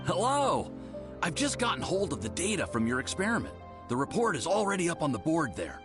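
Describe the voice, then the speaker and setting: Young scholar's assistant, higher-pitched, very lively intonation, earnest, deferential, bookish, video game. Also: male voice, brisk. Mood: eager. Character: eager young male research assistant, polite and earnest. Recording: music behind the voice.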